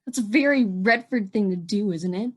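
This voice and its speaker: A woman's voice: medium pitch, normal speed, husky, lightly acted. A woman acting in an audio drama as a bitter, defiant young murder suspect, indignant and sardonic. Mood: angry, accusing.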